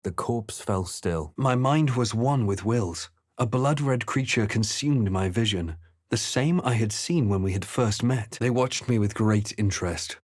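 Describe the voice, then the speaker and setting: Low-voiced, measured fantasy adventurer. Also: male voice, dry recording, clean studio sound. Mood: grave, narrating. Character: male adventurer hero, brooding, measured, wry. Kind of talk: scripted game voice lines and narration.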